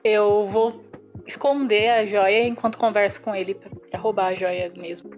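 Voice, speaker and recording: Lady player in an rpg session, casual, mid pitch, music behind, bit muffled. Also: fairly even intonation, normal speed, darkish tone. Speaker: roleplaying game player. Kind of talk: casual tabletop roleplay, stating actions and in-character questions. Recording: slightly muffled, band-limited audio with music. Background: background music.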